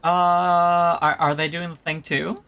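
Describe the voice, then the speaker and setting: A man's voice: on the high side, flat delivery, measured pace, background noise. A man streaming a game, giving casual live commentary as he plays. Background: game music.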